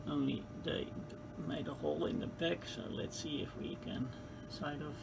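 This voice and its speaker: A man who is not a native English speaker gives casual hands-on repair commentary as host, halting and thinking aloud in a gravelly voice. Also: fairly high pitch, fairly even intonation. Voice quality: gravelly, creaky. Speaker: host of a radio restoration channel. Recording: clearly noisy home-made recording.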